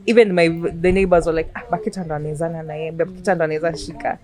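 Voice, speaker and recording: A young woman with a Kenyan accent tells her story in a relaxed interview. Her voice sits a little low and is animated, and she drops in Swahili phrases and laughs easily. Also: very fast. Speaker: chef guest. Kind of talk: casual interview chat.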